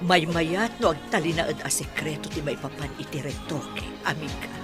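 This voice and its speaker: A woman's voice: low voice, normal speed, over music, lightly acted. A woman playing a chummy, gossipy cosmetic surgeon in an Ilocano radio drama. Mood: conspiratorial.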